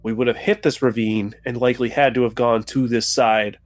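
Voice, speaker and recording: A man's voice: mid-range voice, fairly even intonation, brisk, soft background music. Casual man at a game table.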